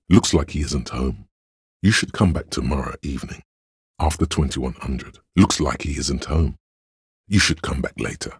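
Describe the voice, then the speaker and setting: A cold, reasoning inner voice from a detective video game. It is extremely deep and heavily husky, recorded close and dry. Each deduction comes out measured, cerebral and faintly condescending. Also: male voice, no echo, full character voice. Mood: dry, deliberate. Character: cold analytical inner voice of logic in a detective's mind. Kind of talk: video game narration in second person.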